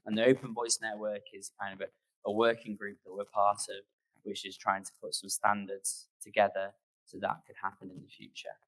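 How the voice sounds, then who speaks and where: A man gives an informal conference talk in a fairly low voice. His intonation stays very flat as he explains things in a loose, conversational way. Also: normal speed, poor audio. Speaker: conference speaker. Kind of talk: informal presentation and audience Q&A.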